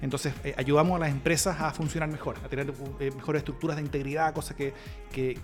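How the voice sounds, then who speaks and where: A man with a Chilean accent talking casually as an interview guest, halting and repeating words as he goes. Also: a little high, steady tone. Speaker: interview guest, political analyst. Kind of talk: casual conversational self-introduction. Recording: clear music in the background.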